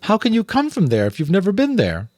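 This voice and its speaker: A man voicing a polite, earnest adult female creature in an audiobook, puzzled and gently reassuring. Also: sits high, wildly swooping pitch, fast, no room sound, studio quality, voice pushed up. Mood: curious.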